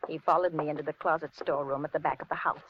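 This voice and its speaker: A woman's voice: low voice, fairly even intonation, rapid-fire, lightly acted. An actress playing a kindly, fussing older landlady in a 1950s radio suspense drama. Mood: reflective narrating. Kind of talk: 1950s radio suspense acting and first-person narration. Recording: dull, noisy 1950s radio recording.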